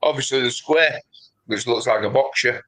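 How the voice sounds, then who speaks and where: A man's voice: mid-range voice, normal speed, a bit bright. A man chatting on a livestream in a matey, teasing way. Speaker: co-host. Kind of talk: casual livestream chat.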